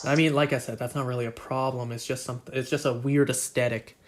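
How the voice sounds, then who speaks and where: A man's voice: mid-range voice, little pitch movement, fairly quick, faint music behind. A man chatting casually on a game live stream, rambling and joking at his own expense. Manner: rambling, self-deprecating, joking.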